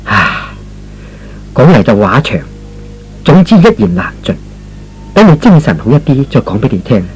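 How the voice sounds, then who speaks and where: A man playing a gentle, remorseful young man in a radio drama, earnest and pleading. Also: medium pitch, very animated, moderate pace, slightly rough, faint music behind, low-quality recording. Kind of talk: Cantonese radio drama acting.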